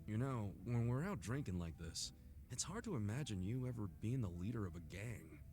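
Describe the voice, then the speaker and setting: An actor playing a brash, good-hearted drinking buddy in a video game, blunt, earnest and easygoing. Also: male voice, on the low side, big pitch swings, normal speed. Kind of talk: English video game voice acting.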